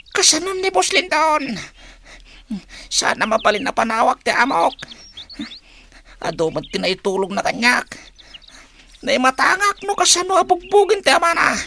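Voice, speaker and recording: Woman acting a fretful toiling underling, high creaky muttering, animated, radio drama. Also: exaggerated voice. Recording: faint noise with birdsong.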